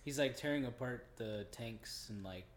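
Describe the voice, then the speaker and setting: A man's voice: mid-range voice, moderate pace. A young man co-hosting a casual podcast, chiming in with slangy, agreeable remarks. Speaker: podcast co-host. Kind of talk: casual chat.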